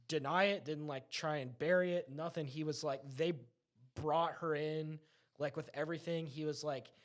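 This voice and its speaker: A man's voice: on the high side, steady tone, moderate pace. A man chatting casually, retelling anecdotes in an enthusiastic, rambling way.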